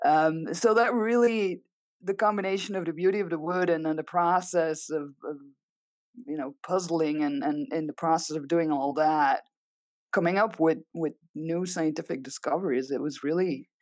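A woman's voice: deep voice, steady tone, normal speed, darkish tone, dry recording. A woman from Belgium with a Dutch accent, a scientist answering interview questions thoughtfully, with frequent ums and restarts. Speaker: guest scientist.

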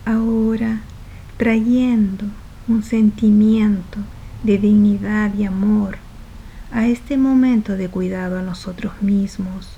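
Slow, mellow woman guiding a meditation. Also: medium pitch, steady tone, lo-fi sound. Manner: soft, unhurried, phrase by phrase with trailing breathy endings. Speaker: meditation guide. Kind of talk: guided meditation.

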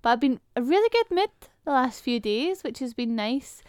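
A woman's voice: high-pitched, very animated, normal speed, a little mellow, clear, resonant tone, no echo. A Scottish woman co-hosting a podcast, chatting casually and filling her talk with "like". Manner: chatty, fills speech with "like". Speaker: podcast co-host. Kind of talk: casual chat.

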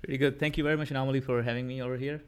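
Clear-voiced, halting Pakistani man. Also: medium pitch, little pitch movement. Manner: halting, hesitant delivery with frequent fillers. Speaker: interview guest, political commentator. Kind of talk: conversational podcast interview.

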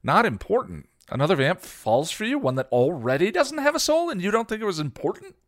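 A man's voice: on the low side, very animated, moderate pace, dry recording, clean studio sound, pitched above the performer's own voice. A man voicing a snarky young man who keeps hurling accusations in a read-aloud story. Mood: accusing. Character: teenage boy, snarky and accusing.

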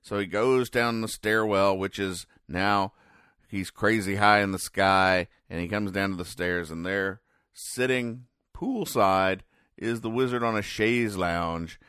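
A man with a deep voice gives casual commentary as a podcast host. He explains things with deadpan, mock-serious care, pausing and hesitating as he goes. The sound is dry and close, with little room in it. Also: smooth, dry recording. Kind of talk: casual comic-strip recap commentary.

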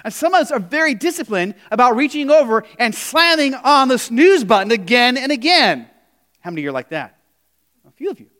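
A man with a high voice preaches a sermon to his congregation. He talks in a loose, conversational way, restarting phrases and throwing questions out to the listeners. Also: big pitch swings, brisk, bright, forceful tone. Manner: conversational preaching with frequent "you know" and restarts. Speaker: pastor.

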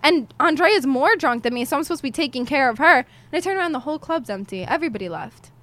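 A young woman with a New York accent, co-hosting a casual chat and telling stories in an animated way, putting on voices. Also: high-pitched, quick pace, dry recording. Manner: animated storyteller, acts out voices. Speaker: co-host.

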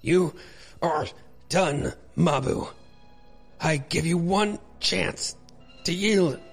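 A man's voice: fairly high pitch, very slow, vocal fry, husky, soft background music, voice pushed up, exaggerated voice. Man acting a stern, proud warrior hero. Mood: stern triumph.

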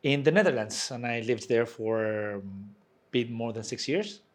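A man's voice: medium pitch, normal speed. A Chilean man in his forties, a guest chatting casually in an interview, hesitant, often restarting his phrases, with dry humor. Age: 40s.

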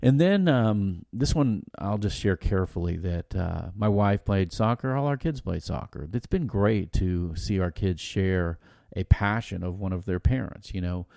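A middle-aged American man with a projecting voice, co-hosting a podcast in a casual, colloquial, animated storytelling way. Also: low voice, normal speed, vocal fry, no room sound, studio quality. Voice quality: loud, projecting voice. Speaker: podcast host. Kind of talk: casual conversational podcast discussion.

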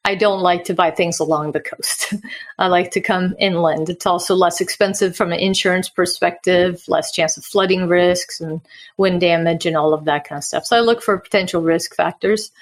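A woman's voice: fairly low pitch, steady tone, moderate pace. A woman from Sweden who moved to the US as a child, explaining things conversationally as an investor guest in an interview. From Sweden, moved to the US at nine. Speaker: podcast guest, real estate investor. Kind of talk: casual interview answers.

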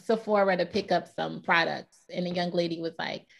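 A woman co-hosting a casual podcast chat, relaxed and opinionated, laughing often. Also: on the low side, a little fast, vocal fry, slight background noise. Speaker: co-host.

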